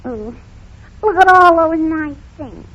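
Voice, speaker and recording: A woman playing a mischievous, tender little girl with drawn-out, ungrammatical baby talk in a 1930s radio comedy. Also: very high voice, lively intonation, fairly bright tone, heavily acted. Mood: cheeky, curious. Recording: scratchy, muffled 1930s radio recording.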